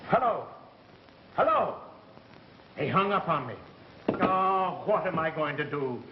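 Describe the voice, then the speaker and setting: A man's voice: a little high, sing-song, slow pace. A man acting a frantic, blustering radio station boss in an agitated, demanding 1930s melodrama style, on an old film soundtrack. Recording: muffled, hissy vintage 1930s film soundtrack.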